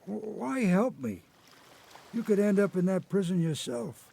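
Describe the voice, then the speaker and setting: An actor playing a haunted old grandfather, an escaped convict telling his story haltingly in a radio drama. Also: sits high, lively intonation, creaky, mellow, breathy edge, full character voice. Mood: urgent, wary. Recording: noticeable background noise.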